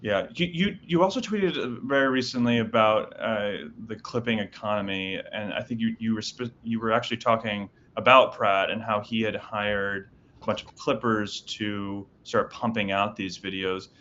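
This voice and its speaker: Low-voiced male news host. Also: bright, forceful tone, highs cut off, a little hiss or hum. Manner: brisk, reads headlines then asks follow-ups with frequent backchannels. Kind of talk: scripted news intro then interview.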